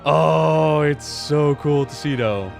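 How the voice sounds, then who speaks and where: A man giving casual reaction commentary on game cinematics as a streamer, excitable and slangy in his exclamations. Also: a little high, slow, creaky, soft background music. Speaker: streamer hosting a reaction video.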